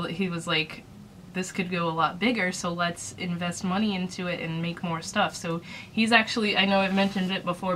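Chatty woman with a bright tone. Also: lowish voice, a little hiss or hum. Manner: chatty, rambling, lots of fillers. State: dry throat, recovering from illness. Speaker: small business owner vlogger. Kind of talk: casual advice vlog. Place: small room indoors.

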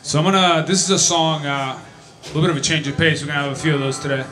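A man in his twenties from Chile, talking quickly and loosely between songs on a small club stage, with fillers and trailing sentences. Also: a little high, fairly even intonation, a bit bright. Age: 20s. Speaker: singer-songwriter. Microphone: vocal mic on stand. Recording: live club recording with guitar. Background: acoustic guitar music.

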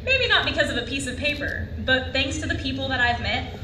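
Young woman speechifying at graduation, high bright voice, echoey hall, mic far off. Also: very animated, fairly quick, slight background noise. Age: young adult. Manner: earnest, rhetorical questions to the audience. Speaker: graduation speaker. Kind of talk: commencement speech. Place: large auditorium stage at a lectern. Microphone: lectern microphone.

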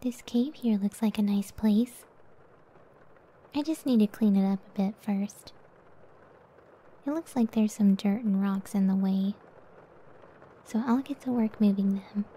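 A woman voicing a gentle, self-doubting giant cyclops wife in a soft, breathy ASMR roleplay, speaking tenderly and often near a whisper. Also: mid-range voice, a little hiss or hum, natural voice, rough recording. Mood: tender, caring. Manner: slow, tender, unhurried.

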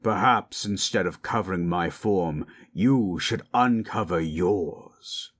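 A man voicing a proud, possessive forest monster in human shape, gravelly and husky, speaking in stilted, imperious phrases for an audio roleplay. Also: deep voice, fairly bright tone, heavily acted. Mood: curious, teasing. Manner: slow, imperious, formal. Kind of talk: dramatic audio roleplay, stilted formal speech.

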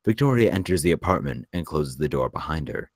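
Very deep-voiced male script narrator. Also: animated, brisk, no room sound, studio quality. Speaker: narrator reading stage directions. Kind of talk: scripted narration for a table read.

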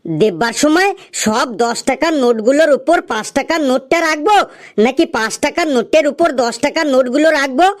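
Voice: high voice, lively intonation, fairly quick. An actor playing a wheedling, cheeky freeloading customer in a comedy cartoon, teasing in a mock-earnest way. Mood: teasing, stalling.

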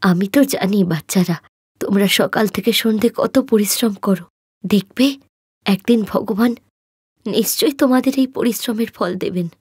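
A woman voicing a gentle, hardworking, pious mother in an animated moral story, soft and earnest. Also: medium pitch, normal speed, breathy edge, dry recording, studio quality, voice pushed down. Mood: tender, hopeful.

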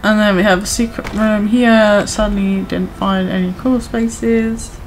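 A woman chatting casually while playing a video game, her voice a little hoarse from talking too much. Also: medium pitch, little pitch movement, unhurried, slight background noise, rough recording. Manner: chatty, self-reassuring, talks to viewers. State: voice going hoarse from overuse. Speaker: gaming streamer. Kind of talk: casual gameplay commentary.

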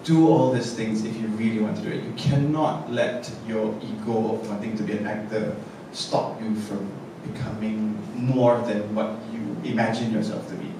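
A man's voice: on the low side, fairly even intonation, moderate pace. Earnest man on an echoey stage. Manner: earnest, advising. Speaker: actor on a panel. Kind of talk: post-show panel talk. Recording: echoey room, distant mic, noisy.

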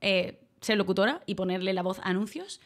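A woman from Málaga, a streamer and voice-over artist, chatting casually with her viewers, grateful and quick to laugh. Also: mid-range voice, animated. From Málaga, Spain. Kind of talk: casual livestream chat reading donations.

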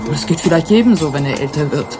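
A woman's voice: low voice, expressive, fast talker, heavy background noise. An actress playing a modest, candid female stenographer with a reserved manner in a German science-fiction radio drama. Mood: candid, reflective.

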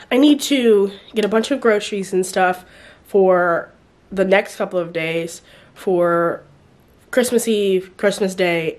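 A woman's voice: medium pitch, no room sound. A woman in her twenties from North Carolina, vlogging casually to a handheld camera and rambling with lots of ums and likes. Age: 20s. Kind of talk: casual vlog chat to camera. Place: parked car. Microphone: handheld camera or phone at arm's length.

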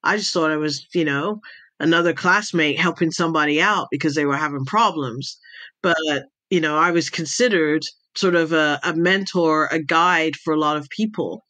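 A woman's voice: sits low, moderate pace, no echo. A woman with an accent between American and British, telling stories about her past as an interview guest and laughing often over a video call. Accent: mixed American and British. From Jamaica. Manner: laughs often, animated storytelling. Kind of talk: casual conversational storytelling.

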